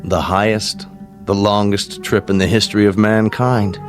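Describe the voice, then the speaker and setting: Man acting a grave, deliberate American scientist. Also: fairly low pitch, flat delivery, natural voice. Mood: solemn, emphatic. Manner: deliberate, formal, emphatic. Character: grave, paternal male space-program scientist, precise and candid. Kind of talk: radio drama acting. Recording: music behind the voice.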